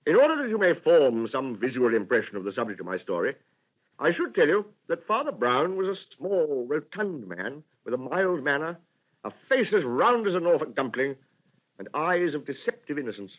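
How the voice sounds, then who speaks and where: An actor playing a genteel storyteller, narrating a radio drama with a British accent in a formal, deliberate, literary manner. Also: male voice, fairly high pitch, sing-song. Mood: measured, reflective. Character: genteel, measured storyteller recalling an old friend. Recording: dull, muffled radio recording.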